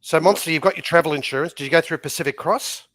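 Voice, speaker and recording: A man's voice: fairly high pitch, fast, fairly bright tone, no echo. A man chatting casually as a co-host and asking questions.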